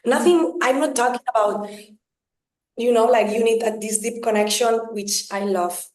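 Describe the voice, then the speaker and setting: A woman from Spain, a dancer speaking as a podcast guest, emphatic and animated, often adding "right?" and "come on". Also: on the high side, moderate pace. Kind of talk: casual conversational interview. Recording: echoey room sound.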